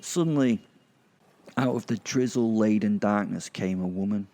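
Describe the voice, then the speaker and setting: A man narrating a horror audio drama in the first person, in character, with sardonic, halting delivery and dramatic pauses. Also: lowish voice, dark tone.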